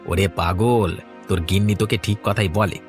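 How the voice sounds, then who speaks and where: A man's voice: fairly low pitch, fairly even intonation, moderate pace, soft background music, close to an everyday voice. A man voicing a bossy but kindly village trader in a cartoon, lecturing a younger man and reassuring him. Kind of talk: cartoon voice acting.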